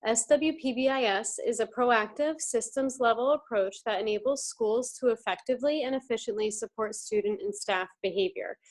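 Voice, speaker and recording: A woman from New Jersey, an instructor and life coach, giving a clear, formal overview in a prepared presentation. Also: on the high side, normal speed, hardly any pauses. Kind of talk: reading a prepared class presentation.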